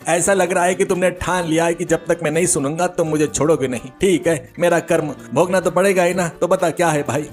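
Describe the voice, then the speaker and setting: A man's voice: fairly high pitch, steady tone, brisk, hardly any pauses, soft background music, lightly acted. A man playing an exasperated, short-tempered village man who grumbles and scolds impatiently in a children's story. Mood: impatient, resigned.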